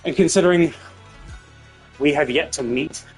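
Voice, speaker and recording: A man's voice: on the high side, normal speed, husky, faint music behind, low-quality recording. A man casually commentating on a game livestream, rambling and thinking aloud as he goes. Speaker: live streamer.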